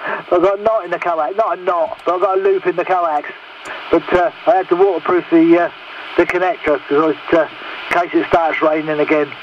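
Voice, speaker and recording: A man chats casually over CB radio. His high voice comes through distant and dull, with plenty of radio noise around it. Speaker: CB radio operator. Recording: distant, muffled, noisy CB radio transmission.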